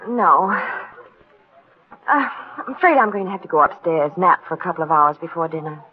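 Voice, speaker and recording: An actress playing a wealthy, affectionate wife with hidden unease, in a theatrical, genteel 1940s radio style. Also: female voice, on the low side, animated, a little fast, breathy edge. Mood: uneasy. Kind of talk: 1940s radio drama acting. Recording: thin, phone-like vintage radio recording.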